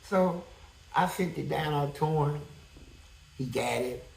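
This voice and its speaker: A man with a high-pitched voice telling a prison story in a blunt, profane, animated way as an interview guest. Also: slow pace, creaky, reverberant, poor audio.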